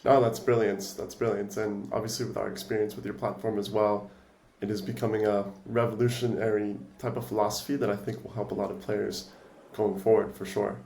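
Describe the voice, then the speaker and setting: A man hosting a podcast, asking smooth, prepared interview questions. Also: on the low side, little pitch movement, brisk, darkish tone, a little hiss or hum. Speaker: podcast host, co-founder. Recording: echoey room.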